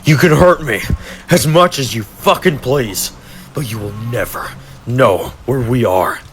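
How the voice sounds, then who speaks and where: A man's voice: medium pitch, measured pace, fairly bright tone, faint music behind, natural voice. A man doing an angry, cursing captive. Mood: defiant. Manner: angry, swearing defiance. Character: defiant captured male fighter, angry and crude.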